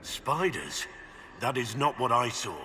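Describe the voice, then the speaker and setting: An actor playing a gruff, dry warrior companion in a video game. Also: male voice, mid-range voice, big pitch swings, unhurried. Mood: dry defiance. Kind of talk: video game dialogue acting.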